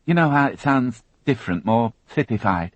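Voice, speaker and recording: A man's voice: medium pitch, lively intonation, dry recording, highs cut off, pitched above the performer's own voice, natural voice. Man playing a shaken, tearful young woman. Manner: hesitant, halting, repeats words.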